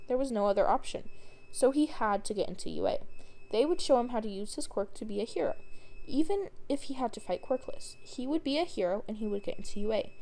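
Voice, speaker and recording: A woman's voice: a little high, mellow, rough recording. A woman narrating a scripted story as a fan fiction reader.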